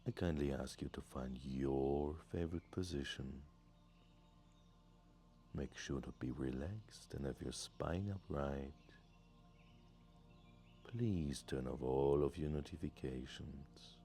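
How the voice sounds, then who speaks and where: A man gently leading a guided meditation in a soothing, deliberate way with long pauses. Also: rumbling low. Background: music.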